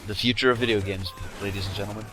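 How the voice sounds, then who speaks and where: Dry, teasing low-voiced man. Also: steady tone, racing, pushed and bright, a little hiss or hum. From United States. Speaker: let's play co-commentator. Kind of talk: casual gaming banter.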